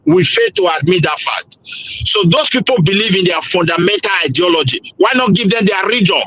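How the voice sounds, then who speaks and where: A man's voice: high-pitched, sing-song, a little fast, a bit bright, muffled recording, slight background noise. A Nigerian man with a Nigerian accent in a heated panel debate, insistent, talking over others with stammering restarts.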